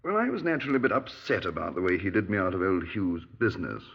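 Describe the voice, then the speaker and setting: An actor playing a genial, breezy middle-aged British retired major in a 1950s radio drama, clipped and dismissive. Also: male voice, sits low, big pitch swings, fast talker, vocal fry, slightly rough, exaggerated voice. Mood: wry, rueful. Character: genial, dapper retired army major, breezy small-town murder suspect. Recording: muffled 1950s radio recording.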